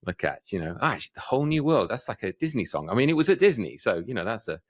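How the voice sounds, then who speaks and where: Deep-voiced man chatting as co-host. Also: big pitch swings, quick pace, no echo, studio quality. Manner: chatty, rambling, laughs at own jokes. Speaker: podcast co-host. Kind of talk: casual tech podcast chat.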